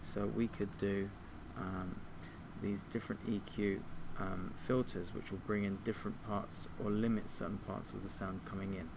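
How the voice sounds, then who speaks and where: A man giving an informal software tutorial, explaining things step by step like a teacher. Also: fairly low pitch, level tone, normal speed, vocal fry, few pauses, very noisy. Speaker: music technology teacher.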